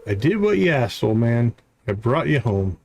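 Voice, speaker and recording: A man reads out a guarded young sailor from a video game, a son carrying his father home. His mid-pitched voice uses folksy, rough-grammared seafaring talk, with noise audible behind it. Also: sing-song, moderate pace, darkish tone. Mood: wry, impatient. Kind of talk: reading video game dialogue aloud.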